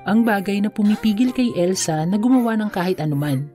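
A woman narrates a children's fairy tale with a mellow, soft-edged tone. Her pitch sits in the middle, and music plays behind her. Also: lively intonation, fairly quick. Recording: music under the voice. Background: background music.

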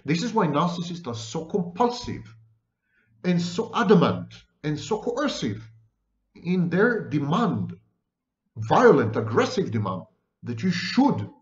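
A man in his fifties giving a formal lecture to camera, reading out quotations and commenting in a deliberate, emphatic manner. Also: fairly high pitch, very animated. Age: 50s. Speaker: professor lecturing. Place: small room indoors. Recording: roomy, echoey, dull lo-fi webcam sound.

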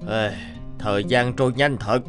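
A man voicing an easygoing middle-aged father in an animated family tale, with music behind. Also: mid-range voice, moderate pace, lightly acted. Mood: casual, concerned. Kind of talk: animated folk-tale dubbing. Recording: music under the voice.

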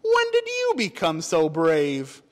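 A man's voice: on the high side, wildly swooping pitch, slow, in a large hall, heavily acted. A man voicing a brave young girl bunny who speaks boldly, in a children's storybook reading. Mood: delighted.

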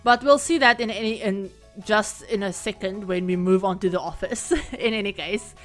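A woman recording a casual, rambling voiceover for a home-building game video, her voice a little croaky from being sick. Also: mid-range voice, moderate pace, fairly bright tone, faint music behind. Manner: rambling, chatty, many fillers. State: croaky from illness. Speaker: Sims build creator. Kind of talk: casual voiceover over gameplay.

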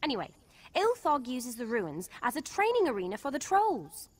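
A woman voicing a spirited young female adventurer in a video game, chatty, earnest and wryly expressive. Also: high-pitched, moderate pace, slightly rough, in a large hall, heavily acted. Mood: wry, matter-of-fact. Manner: expressive, wry. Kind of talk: video game character dialogue.

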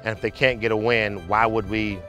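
Lower-voiced man in a reflective interview. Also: steady tone, normal speed, sharp tone, muffled recording, soft background music.

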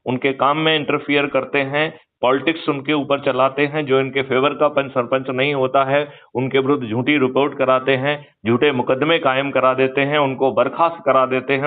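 An older man talking persuasively about politics on a phone call, emphatic and lecturing, often asking rhetorical questions for agreement. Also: mid-range voice. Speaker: activist, retired police officer. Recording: muffled narrow-band phone call recording.

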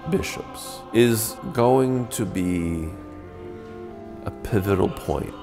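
A man with a low voice speaks slowly and deliberately. Clear music plays behind him throughout. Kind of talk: documentary interview.

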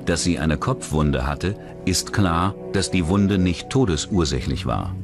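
A man with a deep voice narrates a true-crime documentary. He reads from a script in the role of the storyteller, and music runs clearly behind his words. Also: unhurried. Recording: music under the voice. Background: background music.

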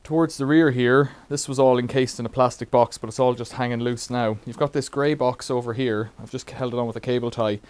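A man's voice: mid-range voice, steady tone, brisk, few pauses, darkish tone, clear, resonant tone. A middle-aged man casually demonstrating a bike repair in a cluttered workshop, rambling with wry asides.

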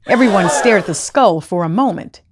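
A middle-aged woman narrating a children's story aloud in a theatrical, expressive way, close on a lapel mic. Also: medium pitch, fairly quick, husky. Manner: theatrical, animated.

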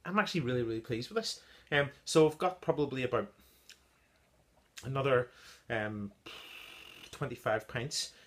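A man, reviewing his own home-brewed stout in a casual vlog and pausing often on ums as he gives tasting notes. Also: mid-range voice, fairly bright tone. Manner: chatty, halting with many ums, tasting notes. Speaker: home-brew beer reviewer. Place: small indoor room with brewing keg. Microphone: device camera at close range.

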